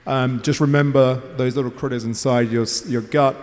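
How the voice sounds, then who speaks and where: Panelist man, promotional pitch, halting pauses, mid voice, hall reverb. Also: fairly even intonation, a little mellow. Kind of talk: conference panel discussion. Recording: long reverb of a conference hall.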